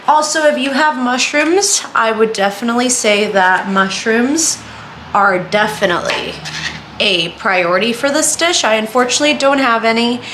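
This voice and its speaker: A woman's voice: on the high side, normal speed, fairly bright tone, a little hiss or hum. A woman giving a casual, chatty home cooking demonstration, informal and full of self-deprecating asides. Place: indoors, home kitchen.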